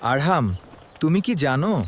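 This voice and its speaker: A man playing a gentle, pious father who teaches his young son in a children's educational cartoon. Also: on the high side, big pitch swings, a little slow, faint music behind. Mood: instructive. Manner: instructive, kindly, simple phrasing.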